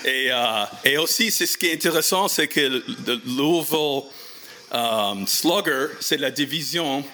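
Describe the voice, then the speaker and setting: A man from the United States with an American accent, a native English speaker hosting casually, halting and repeating words as he searches for phrasing. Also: mid-range voice, lively intonation, sharp tone, a little hiss or hum. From United States, Missouri. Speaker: show host and interviewer. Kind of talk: casual on-location introduction and interview.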